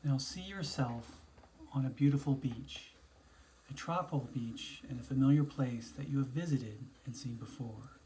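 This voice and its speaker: Male guided-imagery narrator. Dark, relaxed tone, soothing instructional read with spaced phrases. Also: medium pitch, a little hiss or hum, poor audio. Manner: slow, soothing, instructional delivery with pauses between phrases. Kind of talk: guided meditation, scripted narration.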